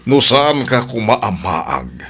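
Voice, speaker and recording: A man's voice: fairly low pitch, sing-song, slow pace, fairly bright tone, breathy edge, exaggerated voice. A man acting a stern older father who longs for his grandchild, in an Ilocano family drama. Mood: stern, pleading.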